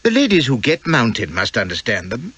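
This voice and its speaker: A man voicing a sweet, jealous, scatterbrained young Victorian wife, breathy and pleading as he reads the story. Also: mid-range voice, huge pitch swings, normal speed, fairly bright tone, dry recording, full character voice. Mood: innocent. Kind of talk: audiobook character voice.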